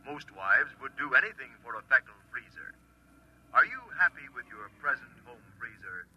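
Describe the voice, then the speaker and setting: An actor playing a pushy advertising pitchman, blaring a repetitive hard-sell commercial in an old radio drama. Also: animated, normal speed, noisy background, natural voice. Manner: forceful, repetitive. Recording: lo-fi vintage loudspeaker announcement.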